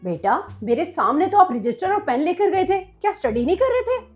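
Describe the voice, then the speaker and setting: A woman's voice: very high-pitched, sing-song, brisk, faint music behind. A woman playing a doting but exasperated mother in a comic family skit, motherly and chiding. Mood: trusting.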